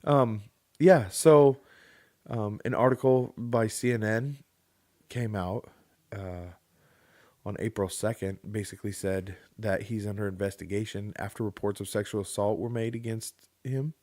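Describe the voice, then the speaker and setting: A man's voice: lowish voice, steady tone, a little slow, darkish tone, no room sound. A man co-hosting a casual podcast, reading a news article aloud with joking, sarcastic asides. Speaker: podcast co-host.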